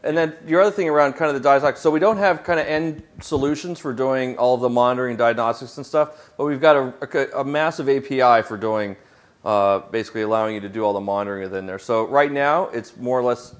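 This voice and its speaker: A man's voice: medium pitch, quick pace. A man on a conference panel answering audience questions in a casual, self-deprecating way, with frequent fillers. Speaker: product manager presenting on a panel.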